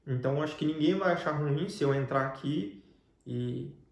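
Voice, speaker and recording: An echoey room surrounds this man's voice, which sits a little high for a male. He has a Brazilian Portuguese accent and recounts a personal testimony of faith in a conversational storytelling way. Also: normal speed, creaky. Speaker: guest telling his life story.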